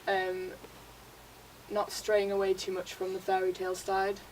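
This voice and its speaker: A woman's voice: medium pitch, monotone, measured pace, darkish tone. A young woman, a college student giving an informal presentation to a class. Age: young adult. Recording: distant mic, faint room noise.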